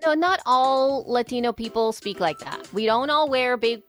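Animated podcaster woman from El Salvador. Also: a little high, moderate pace, soft background music. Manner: animated, talks in quoted asides and restarts. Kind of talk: casual conversation.

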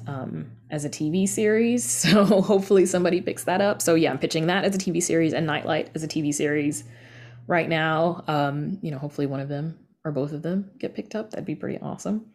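An American woman talks candidly in a casual interview. Her voice sits low and has a gravelly fry at the edges. She is informal and unscripted, like a guest sharing her own work. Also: a little mellow. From the American South. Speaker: interview guest, horror writer and podcast creator.

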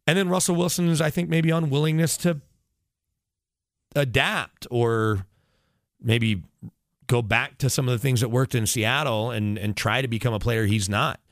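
A man's voice: mid-range voice, fairly even intonation, normal speed, dry recording, clean studio sound. A man talking as a sports radio analyst in casual conversation, opinionated and emphatic, often digressing as he makes his points.

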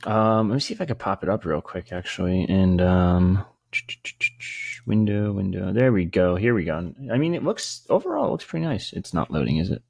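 A man with a fairly low voice co-hosts a casual sports podcast. He rambles informally and shares opinions freely. The sound is close and dry. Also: animated, normal speed, vocal fry, a little mellow, dry recording.

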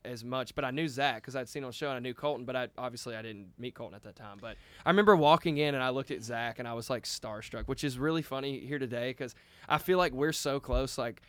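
A man's voice: medium pitch, fast, a bit bright, clear, resonant tone, dry recording. A man in a band, telling a long story casually with lots of restarts and "like.". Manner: rambling, with many restarts and "like". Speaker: band member.

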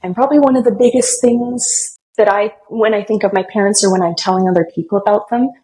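A woman's voice: medium pitch, steady tone, fast talker, poor audio. Woman guest on a podcast, friendly and chatty, laughs a lot, normal mid voice. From Germany, raised in Canada. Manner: warm, friendly, laughs easily. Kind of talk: conversational interview answers.

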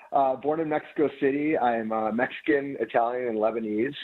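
A man's voice: medium pitch, fairly even intonation, moderate pace. A man in his thirties from Michigan, a business owner talking earnestly as a radio guest, rambling with many restarts. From Dearborn, Michigan. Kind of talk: casual radio interview. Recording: tinny phone-line audio.

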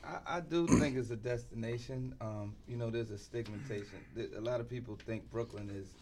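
A middle-aged man speaking as a guest in a sports interview, often pausing mid-thought. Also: mid-range voice, darkish tone, slight background noise. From New York City, United States. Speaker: interview guest, basketball team insider and former coach.

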